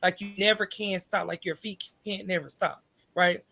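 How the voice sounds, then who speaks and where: A woman's voice: lowish voice, normal speed, gravelly. A middle-aged American woman talking casually as an interview guest, acting out little asides and often checking in with "right?". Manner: animated storytelling, acts out quoted thoughts, often tags phrases with "right?". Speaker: interview guest, coffee shop owner.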